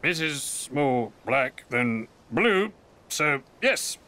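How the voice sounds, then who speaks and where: Casting: sly pirate captain, florid slurred swagger, bright edge, very animated pitch, video game. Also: male voice, extremely slow, exaggerated voice. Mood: cagey.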